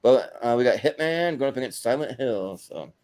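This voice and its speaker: A man's voice: medium pitch, expressive, normal speed, a little mellow. A man hosting a casual panel chat, speaking informally to the other participants. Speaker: host of panel show.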